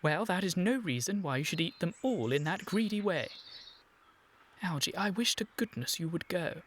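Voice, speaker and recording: An actor playing an earnest, exasperated young English gentleman in a comic period radio play, with a fussy, reproachful manner. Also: high voice, lively intonation, normal speed. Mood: indignant. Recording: clear background ambient noise.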